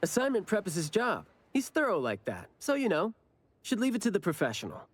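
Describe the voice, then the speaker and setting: A glib anime game captain who shirks work. His delivery is breezy and teasing, with a lively, swinging pitch, as if every excuse were perfectly reasonable. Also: a little fast, exaggerated voice. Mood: smooth, teasing. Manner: breezy, teasing, self-justifying.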